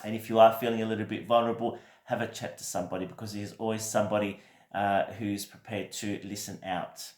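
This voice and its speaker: A man with a croaky voice from a recent cold, giving a gentle, reassuring weekly tarot reading with many fillers. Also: on the low side, flat delivery, fast, roomy sound. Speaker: tarot reader.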